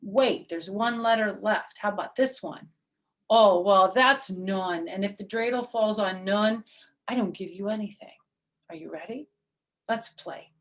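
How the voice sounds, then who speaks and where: A woman voicing a wily, cheerful trickster man in a storybook, with an animated, coaxing, mock-innocent manner. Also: medium pitch, measured pace, lightly acted. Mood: sly, mock sympathetic.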